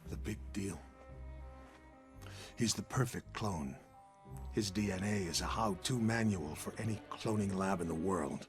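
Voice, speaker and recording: Low voiced american intel boss, grave n shrewd, slow ominous delivery, loud music behind, video game cutscene. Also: male voice. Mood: grave, insistent. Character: shrewd, grave older American intelligence chief, coolly persuasive. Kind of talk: dramatic game cutscene dialogue.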